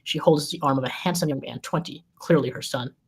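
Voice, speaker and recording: A woman's voice: a bass voice, fairly quick, husky. Woman reading screenplay action lines. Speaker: script narrator at a table read. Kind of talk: scripted narration read aloud.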